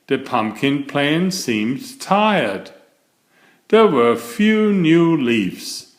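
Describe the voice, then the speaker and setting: Male bedtime-story reader, slow and deliberate, very animated intonation, roomy sound. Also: mid-range voice. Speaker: storyteller, children's author and channel host. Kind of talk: read-aloud children's bedtime story narration.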